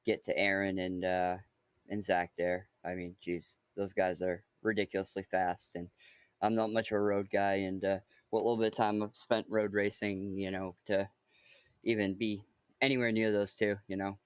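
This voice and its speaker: Brief: low-pitched man, hesitant racer, dull lo-fi chat mic. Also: little pitch movement, moderate pace, clean tone, no echo. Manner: apologetic, hesitant, many fillers. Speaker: sim racing driver, second place. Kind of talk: casual post-race interview. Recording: dry, dull voice-chat audio.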